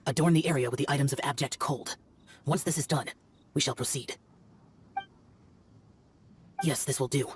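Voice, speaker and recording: A man playing a stern, aloof, youthful immortal demon slayer in a video game, with solemn, formal, measured delivery. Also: medium pitch, a little hiss or hum, natural voice. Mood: solemn instruction. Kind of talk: scripted video game dialogue.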